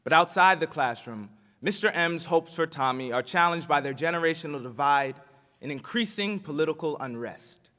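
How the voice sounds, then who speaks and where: A man's voice: a little high, expressive, moderate pace, pushed and bright. A man giving a scripted introduction to a stage scene, as a presenter. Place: large ceremony hall stage. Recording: reverberant hall.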